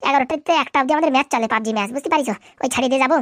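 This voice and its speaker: A high-voiced, boastful young crook played by a woman in a comedy cartoon. The boy rattles along very fast in brash slang, lazy and cocky, on a dry close recording. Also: fairly bright tone, no room sound. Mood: whining.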